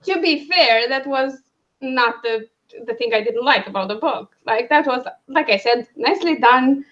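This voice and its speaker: A woman hosting a casual livestream book discussion, thinking aloud in halting, broken phrases. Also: sits high, fairly bright tone. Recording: dull, lo-fi sound.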